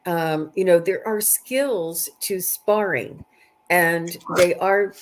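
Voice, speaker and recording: Lady doing psychic readings on a live chat, slightly low voice, kinda halting, repeats herself while thinking. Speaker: psychic reader hosting a live stream. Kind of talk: casual live-stream chat, giving readings to viewers.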